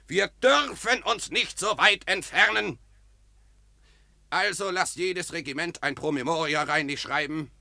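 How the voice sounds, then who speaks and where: A bold soldier of an old army camp, declaiming rhyming verse to rally his comrades. His voice is gravelly and sharply bright, and it sounds dry and close, with no room around it. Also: on the high side, no echo, exaggerated voice. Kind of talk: classic verse radio play.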